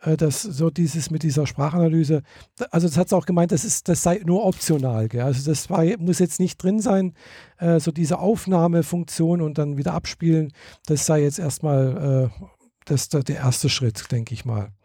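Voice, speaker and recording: Dark-voiced, husky man, hesitant. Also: on the high side, normal speed, no room sound, clean studio sound. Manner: hesitant, many fillers and restarts. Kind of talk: casual chat.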